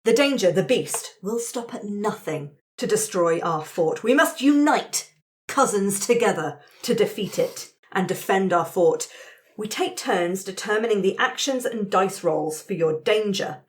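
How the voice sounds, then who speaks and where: A woman's voice: medium pitch, animated, a little slow. A woman explaining game rules conversationally as facilitator, checking in with her group of players.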